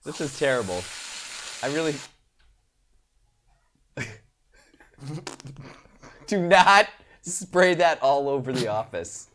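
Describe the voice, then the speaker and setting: A man's voice: mid-range voice, sing-song, takes it slowly, pauses often, fairly bright tone. A man co-hosting a live trivia stream from an office, teasing his partner in dry, casual banter. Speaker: co-host.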